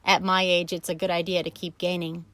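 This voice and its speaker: A woman's voice: flat delivery, brisk, fairly bright tone, dry recording, lightly acted. Wilbur, a woman doing a panicked young pig. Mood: eager. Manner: shrill, sobbing pleas. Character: young male pig, frightened and wailing. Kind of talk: storybook reading.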